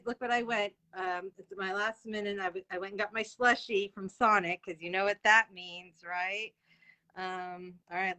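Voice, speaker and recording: A woman's voice: mid-range voice, bright, forceful tone. A woman hosting an author interview livestream, chatting casually and informally with viewers as she goes.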